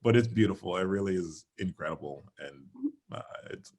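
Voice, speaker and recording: Animated deep-voiced man laughing easily. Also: moderate pace. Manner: animated, laughs often, quotes himself in lively bursts. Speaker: interview guest, stage actor and singer. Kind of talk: casual conversational interview.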